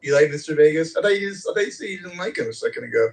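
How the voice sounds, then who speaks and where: A man's voice: a little high, expressive, very fast, gravelly. A man hosting a live audio chat room, talking loosely and rambling with many fillers. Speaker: room host and moderator.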